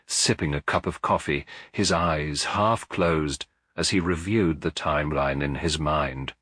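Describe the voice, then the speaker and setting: Low-pitched, husky male mystery narrator. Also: fairly bright tone, no room sound, studio quality. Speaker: audiobook narrator. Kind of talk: scripted mystery story narration.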